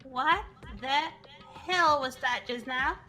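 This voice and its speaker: A woman's voice: high-pitched, takes it slowly, pushed and bright, faint music behind, exaggerated voice. A woman playing a brash, worried teenage schoolgirl in an amateur game dub. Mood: alarmed. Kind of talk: amateur game character acting.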